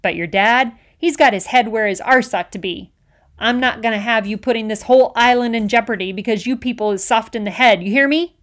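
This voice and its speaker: A woman reading an audiobook, voicing a blustering, bigoted middle-aged male island policeman who lectures in rough, folksy grammar. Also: on the high side, fairly quick, lightly acted. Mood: scornful.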